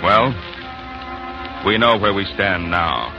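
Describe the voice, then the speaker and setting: Bitter solemn radio play narrator, 40s style, deliberate and dramatic, loud music under him. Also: male voice, deep voice, lively intonation, gravelly, lo-fi sound, full character voice. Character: grave, bitter Canadian narrator of occupied homeland.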